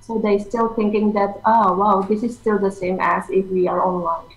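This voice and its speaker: A woman, an English teacher, answering interview questions earnestly over a video call, sometimes pausing to search for words. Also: medium pitch, flat delivery, reverberant, low-quality recording.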